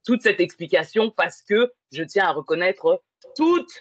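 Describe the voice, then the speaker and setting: A woman's voice: on the low side, animated, unhurried, no room sound. A woman from France in casual, reflective conversation, hesitating and circling back to explain herself. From born and grew up in France. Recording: slightly dull lo-fi sound.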